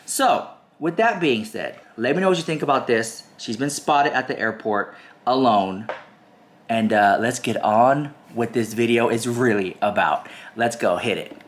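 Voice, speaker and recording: A man's voice: mid-range voice, a little slow, a little hiss or hum. Man host with a cold, stuffy voice, chatty gossip commentary, talks straight to viewers. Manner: chatty, addresses viewers directly, emphatic repetition. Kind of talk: casual gossip commentary to camera.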